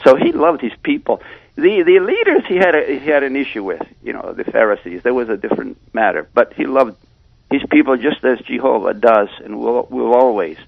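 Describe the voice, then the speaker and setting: A male caller with a creaky, gravelly voice at middling pitch offers casual scripture commentary. He rambles and halts often as he goes. The phone sound is dull and dry. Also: animated, no room sound. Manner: hesitant, halting delivery with repeated pauses. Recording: dull phone line on radio.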